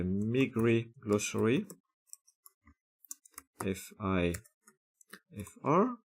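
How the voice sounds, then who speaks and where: A man, methodically narrating a screen-recorded software tutorial step by step, with frequent filler sounds. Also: lowish voice.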